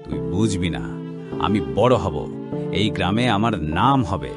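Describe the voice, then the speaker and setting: A man voicing a stubborn, gullible, dreamy village farmer in an animated moral story, talking in rustic colloquial dialect. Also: low-pitched, a little slow. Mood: boastful, hopeful. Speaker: voice actor. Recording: loud music under the voice.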